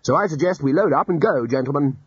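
Bluff elderly wizard chief, voiced by a man, booming, brisk, husky, dry lo-fi sound. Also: lively intonation, no room sound. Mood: hearty. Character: bluff loud elderly male wizard leader. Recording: dull lo-fi audiobook recording.

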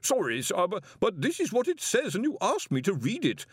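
A jittery young wizard who stammers and pleads, his voice pushed very high and swooping wildly, as a male storyteller puts him on. He sounds close and dry. Every word comes out anxious and begging. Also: huge pitch swings, moderate pace, breathy edge, no echo, full character voice. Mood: pleading.

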